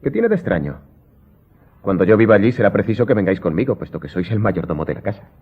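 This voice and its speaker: Imperious, deep-voiced, quick-talking count. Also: male voice, sing-song, husky. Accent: Spain. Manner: curt, commanding, formal. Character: imperious wealthy count, commanding, coolly secretive. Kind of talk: radio drama acting. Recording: muffled audio, faint music behind.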